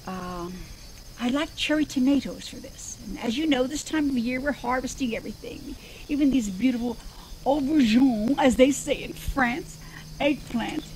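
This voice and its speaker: A woman's voice: high voice, normal speed, darkish tone, slight background noise, close to an everyday voice, low-quality recording. An older woman playing a genteel elderly zombie woman hosting a cooking show outdoors, prim and chatty, wandering off into digressions. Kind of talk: improvised comedy sketch. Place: outdoors in a backyard.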